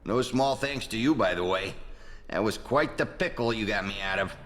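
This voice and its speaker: An actor playing a vain, foul-mouthed corrupt American politician in a video game, with a pompous, swaggering delivery. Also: male voice, lowish voice, normal speed, a bit bright, close to an everyday voice. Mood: triumphant, boastful. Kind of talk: video game character acting. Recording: long reverb.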